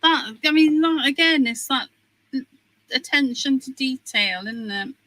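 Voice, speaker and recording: A woman co-hosts a relaxed craft chat, full of gentle admiring remarks. She speaks slowly, and her voice sits a little high. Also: no echo, low-quality recording. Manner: warm, admiring, lots of "oh" and "mm". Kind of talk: casual chat.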